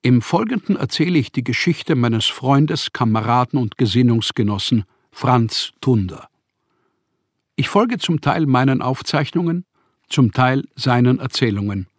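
An actor playing a proud, embittered male writer and journalist in a radio play, speaking formal letters with emphatic, declarative phrasing and a husky voice. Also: mid-range voice, expressive, unhurried, no echo, clean studio sound. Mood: earnest, measured. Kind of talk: radio play acting, reading letters aloud.

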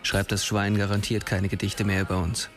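Radio-drama role: vicious teenage ringleader played by a man, lowish voice, barking curt orders over music. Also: completely flat delivery, moderate pace, lightly acted. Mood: menacing. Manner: curt, commanding. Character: brutal teenage schoolboy bully, bossy ringleader of an attack. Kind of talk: German radio drama acting.

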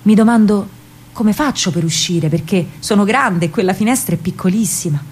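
An actress plays a young Roman woman telling her own story in a radio drama. She is spirited and dreamy, longing to be free. Her delivery is theatrical and expressive, with dramatic pauses and a marked Roman accent. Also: medium pitch, breathy edge, close to an everyday voice. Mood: dreamy, elated. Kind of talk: theatrical first-person monologue, radio drama.

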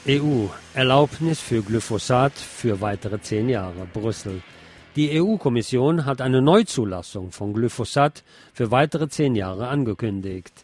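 A man's voice: mid-range voice, animated, unhurried, darkish tone. Man reading radio news over faint music.

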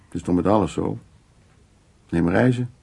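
A suave, lonely man of the world in a naturalistic radio play. His voice is gravelly, very hoarse-edged and dark. He muses and teases as he presses his courtship. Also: low-pitched, normal speed, heavily acted. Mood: teasing, persuasive. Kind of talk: naturalistic Dutch radio drama acting.

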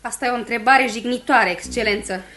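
A sharp, high-voiced menacing young accuser. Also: female voice. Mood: wounded, threatening. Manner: dramatic, defiant. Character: wounded, menacing young woman feigning possession, imperious and hysterical. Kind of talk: intense radio drama acting.

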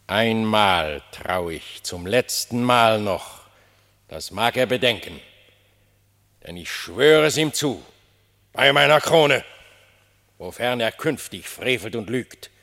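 A man's voice: lowish voice, expressive, slow pace, long pauses, bright, forceful tone, full character voice. An actor playing a stern, distrustful king of the animals in a radio play, solemn and regal in his declamation. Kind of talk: German radio play verse acting.

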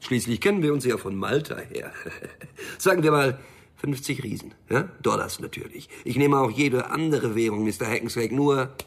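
An actor playing a defiant, sardonic small-time hustler in a radio thriller, with a husky, creaky voice and blunt delivery. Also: male voice, medium pitch, expressive, normal speed. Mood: sardonic, wary. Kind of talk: radio crime drama acting.

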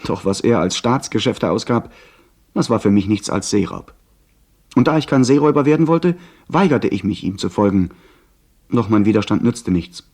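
An actor playing a young gentleman who narrates an adventure tale in a radio play. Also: lowish voice, animated, normal speed. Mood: grave, recounting. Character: young gentleman narrator recounting his abduction by pirates. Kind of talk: scripted dramatic narration, 1970s radio play.

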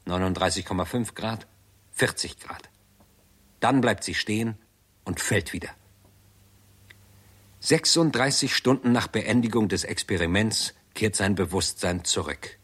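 A man with a deep, husky voice narrates a sixties radio drama. His delivery is sober and measured, like a scripted report. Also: a bit bright. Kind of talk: 1960s radio play narration.

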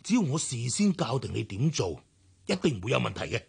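A man's voice: medium pitch, very animated, brisk, full character voice. A man with a Hong Kong Cantonese accent, playing a worried, middle-aged company director who sighs and pleads in a radio drama. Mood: urgent, pleading. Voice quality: husky, sighing.

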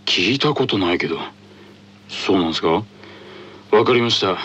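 A man voicing a gruff but deferential, easily flustered teenage boy tennis player in an anime radio drama, speaking in clipped, roughly polite phrases. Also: low voice, lively intonation, normal speed, a bit bright, voice pushed down, exaggerated voice. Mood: flustered, reluctant.

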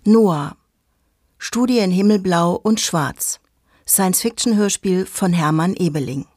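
A woman's voice: fairly low pitch, measured pace, darkish tone, dry recording, clean studio sound. A woman reading formal scripted credits as a 1970s German radio drama announcer.

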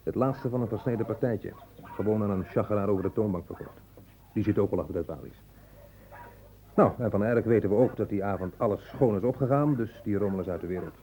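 Low, mellow, wry police inspector, played by a man. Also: fast talker, natural voice. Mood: wry, assured. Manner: conversational, wryly joking. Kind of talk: Dutch radio play acting. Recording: faintly noisy old radio recording.

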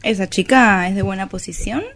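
A woman's voice: medium pitch, normal speed, background noise, close to an everyday voice. Woman acting a fussy, snobbish Argentine mother. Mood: curious, snobbish. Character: snobbish, meddling middle-class mother of grown daughter. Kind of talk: radio play acting.

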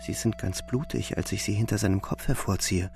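An actor playing a shaken, stubbornly loyal young soldier who narrates and speaks in a radio drama. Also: male voice, lowish voice, a little fast, gravelly. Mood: disbelieving, agitated. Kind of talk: radio drama, first-person narration and dialogue. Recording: music behind the voice.

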